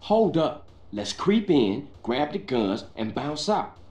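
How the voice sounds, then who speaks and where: An actor playing a wary young gang member who talks in dry, sarcastic street slang. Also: male voice, medium pitch, sing-song, slow pace, a little mellow, echoey room. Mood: wry.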